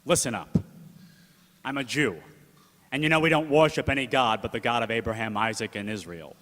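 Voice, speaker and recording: A man's voice: medium pitch, a little fast, a bit bright, close to an everyday voice. A man playing a defiant, principled uncle who talks in gangster-era slang in a radio play. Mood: defiant, gruff. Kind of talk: old-time gangster radio play acting. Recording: echoey hall with music behind.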